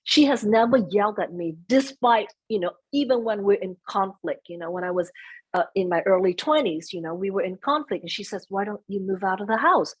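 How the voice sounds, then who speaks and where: A soft-spoken middle-aged woman speaking as a guest in an interview, chatting about family, sometimes slipping into Vietnamese phrases. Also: mid-range voice, brisk, slightly rough, low-quality recording. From United States. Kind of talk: conversational interview.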